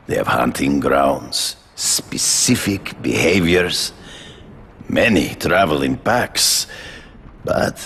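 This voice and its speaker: Very deep, gravelly hunter. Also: male voice, fairly bright tone, slight background noise, exaggerated voice. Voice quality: gravelly, growling. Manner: formal, deliberate, theatrical. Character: imperious big-game hunter, proud, cold, menacing man. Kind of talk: video game dramatic acting.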